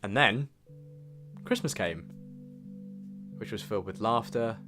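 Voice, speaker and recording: A man's voice: fairly low pitch, expressive, unhurried, bright, forceful tone, soft background music. A young British man reading a scripted, reflective voice-over narration as a video creator. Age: young adult. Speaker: video creator narrating own year review.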